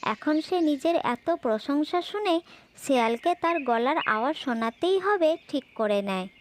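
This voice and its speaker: A woman's voice: high voice, lively intonation, unhurried, a little hiss or hum. A woman telling a children's fable as a scripted storyteller.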